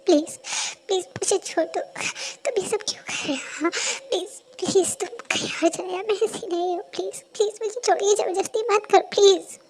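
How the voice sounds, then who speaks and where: A young woman playing a frightened young woman who pleads in a rushed voice. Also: very high-pitched, darkish tone, slight background noise, rough recording. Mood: pleading.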